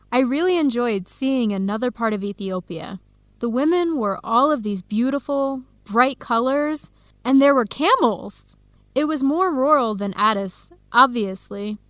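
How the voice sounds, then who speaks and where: An actress playing an earnest young American woman researcher in a radio drama, with clear, expressive, carefully enunciated speech. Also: fairly high pitch, measured pace, dry recording. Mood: cheerful. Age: young adult. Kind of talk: scripted radio drama dialogue.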